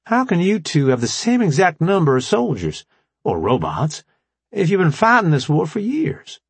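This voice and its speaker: Man as skeptical female fighter, high pitch, incredulous questions, swooping intonation, audiobook character. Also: huge pitch swings, moderate pace, creaky, breathy edge, no room sound, full character voice.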